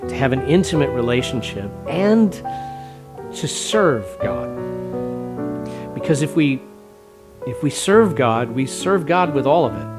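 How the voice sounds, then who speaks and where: A pastor preaching at a slow, deliberate pace, setting out numbers step by step in a plain-spoken way. Guitar and piano play clearly underneath his voice. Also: mid-range voice, very animated. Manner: measured, plain-spoken, working through numbers aloud. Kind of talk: stewardship sermon. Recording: music bed underneath.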